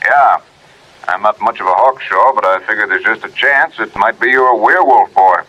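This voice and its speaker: An American actor playing a chatty, confident middle-aged police veteran in a 1950s radio drama. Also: male voice, on the low side, normal speed, sharp tone, dull audio. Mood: folksy. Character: folksy veteran male auto-theft cop, chatty and confident.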